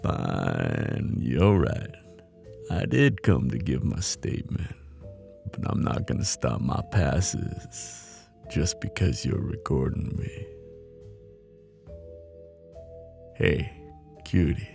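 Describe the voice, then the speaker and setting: A man playing a flirty, menacing mob boss in an intimate ASMR roleplay, with a teasing, cocky drawl and a deep, gravelly voice. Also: sing-song, slow, a little mellow, soft background music, exaggerated voice.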